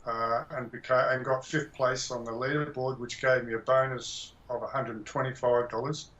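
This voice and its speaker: A man's voice: medium pitch, little pitch movement, moderate pace, fairly bright tone. A middle-aged retired man giving a plain, modest testimonial with hesitant fillers. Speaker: course student. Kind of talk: testimonial on a call. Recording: dull lo-fi call audio.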